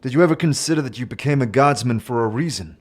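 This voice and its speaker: A man performing scripted narration and dialogue in an audio drama, in a measured, deliberate manner. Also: medium pitch, lively intonation.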